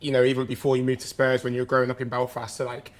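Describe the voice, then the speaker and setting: Man, podcast host, very flat monotone, halting, reflective. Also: medium pitch. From England. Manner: halting, repeats words, reflective. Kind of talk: interview questions.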